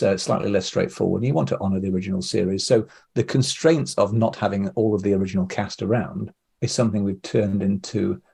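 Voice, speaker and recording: A man's voice: on the low side, gravelly, a little mellow, husky. A man giving hesitant, discursive explanations as the guest in a casual interview. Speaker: interview guest, audio drama producer.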